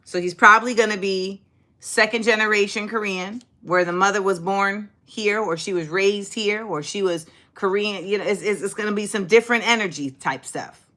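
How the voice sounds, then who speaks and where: Bright-voiced chatty woman, livestream host. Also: medium pitch, moderate pace. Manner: chatty, sassy, animated, reads and answers viewer comments. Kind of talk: casual livestream chat with viewers.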